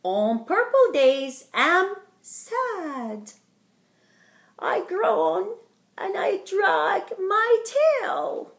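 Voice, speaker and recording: A middle-aged woman reading a picture book aloud to young children in a theatrical, deliberate way, as a storytime host. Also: extremely high pitch, lively intonation, creaky. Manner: theatrical, slow and deliberate, addressing small children. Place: indoor wood-panelled living room. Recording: roomy, echoing home recording.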